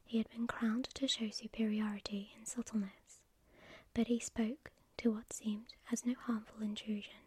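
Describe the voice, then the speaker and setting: Breathy whispering woman storyteller. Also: on the high side, little pitch movement, dry recording. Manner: slow, hushed, deliberate reading.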